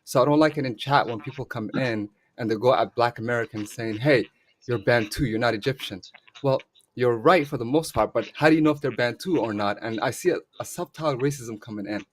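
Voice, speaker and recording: A man's voice: mid-range voice, brisk. A man from Somalia, grown up in Canada, calling in to a podcast and speaking earnestly about his views. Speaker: caller. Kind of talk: casual call-in chat.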